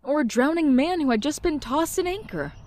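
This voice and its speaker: Woman doing a wry, wistful young narrator with a lively, animated pitch. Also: brisk. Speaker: narrator of her own past. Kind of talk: comic dub narration.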